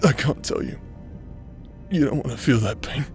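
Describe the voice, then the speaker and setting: A man acting a drunk, self-loathing, protective villain with a halting, foul-mouthed, emotional delivery in an audio roleplay. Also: medium pitch, music in the background, natural voice. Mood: bitter, loving.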